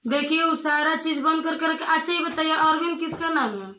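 A woman's voice: high voice, normal speed, bright, forceful tone. A woman in her thirties with an eastern Hindi-belt accent makes an insistent complaint on a phone call, repeating her points, recorded in a small room. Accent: eastern Hindi belt (Bihar/eastern UP). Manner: insistent, repetitive, remonstrating. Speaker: vlogger. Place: small bedroom indoors. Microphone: camera a short distance away. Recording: echoey small room, faint noise, clicks.

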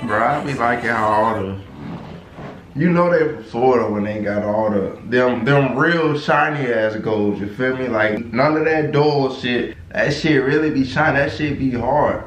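Animated young man, echoey bedroom. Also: moderate pace, slight background noise. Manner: animated, slangy, jumps into high-pitched exclamations. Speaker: reaction channel host. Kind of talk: casual reaction commentary. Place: small bedroom in a gaming chair. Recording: echoey room.

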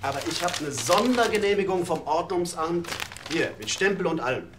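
A man's voice: on the high side, lively intonation, brisk, noisy background, natural voice. Man as a slick ad agency boss. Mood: indignant. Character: slick, indignant, flattering advertising agency boss. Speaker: actor in a TV police comedy.

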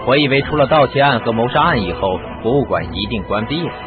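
A man's voice: mid-range voice, lively intonation, fast talker, over music, lightly acted. An actor playing a confident, persuasive male sleuth in a radio drama. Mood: brisk. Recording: muffled phone-like radio recording.

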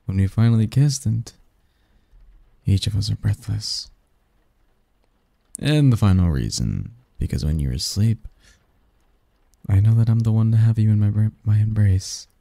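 A man performs a seductive male sleep demon in an intimate ASMR roleplay, low and very mellow. He speaks slowly and softly, with teasing, possessive devotion and formal, flirtatious phrasing. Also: big pitch swings, gravelly, no room sound, heavily acted. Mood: possessive, yearning. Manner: flirtatious, formal wording, soft intimate delivery, chuckling.

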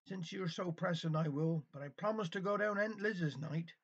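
A man's voice: high-pitched, normal speed, slightly rough, muffled recording, voice pushed up. A man voicing a gossipy, complaining village woman in Cornish dialect. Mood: polite, eager. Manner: gossipy, indignant, dialect-heavy.

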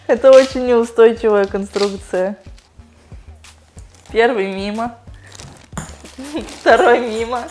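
A woman's voice: a little high, lively intonation, slow, pauses often, darkish tone, faint music behind. A young woman vlogging casually, playful and chatty as she talks to her dog and her viewers while opening toys, recorded on a lapel mic in a small tiled bathroom. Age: young adult. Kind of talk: casual vlog chat unboxing toys. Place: small tiled home bathroom, sitting in a bathtub.

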